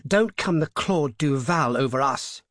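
A man voicing a bold, sharp-tongued young actress in an audiobook, speaking briskly and cheekily. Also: medium pitch, expressive, dry recording, clean studio sound. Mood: defiant. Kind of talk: audiobook character voice.

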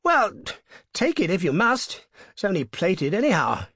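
A man voicing an indignant young English gentleman motorist, blustering and high-pitched in his upper-class exclamations. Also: wildly swooping pitch, normal speed, husky, dry recording, clean studio sound, full character voice. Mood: sulky.

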